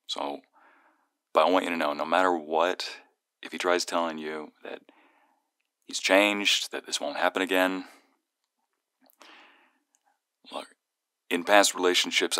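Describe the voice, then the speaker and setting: A man's voice: deep voice, sharp tone, no echo, studio quality. A man playing a playful, protective young male friend who is secretly fond of the listener, in a one-sided ASMR roleplay phone call with teasing, earnest banter. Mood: serious, concerned.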